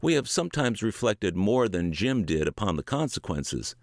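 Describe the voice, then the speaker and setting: A man reading a book aloud word for word, in a measured, earnest and emphatic way. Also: fairly low pitch, very animated, no echo, clean studio sound. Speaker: audiobook reader.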